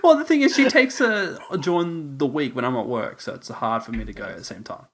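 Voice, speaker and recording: A young man co-hosting a podcast, joking and animated in casual chat. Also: mid-range voice, brisk. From Australia. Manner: jokey, animated, laughs often. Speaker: podcast co-host.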